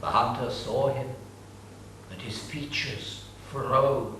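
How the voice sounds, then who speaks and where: A man's voice: mid-range voice, steady tone, slow, fairly bright tone, a little hiss or hum. A man narrating an ancient epic in a declamatory, solemn dramatic reading. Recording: distant mic, echoey room.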